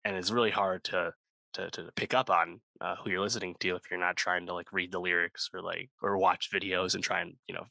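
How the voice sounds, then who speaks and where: A man with a low, bright voice talks through a music review in a loose, rambling way, full of fillers and restarts. He sounds close to the mic in a dry, dead room. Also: flat delivery, fairly quick, gravelly, dry recording. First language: English speaker, not Korean. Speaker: podcast co-host. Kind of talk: casual music review chat.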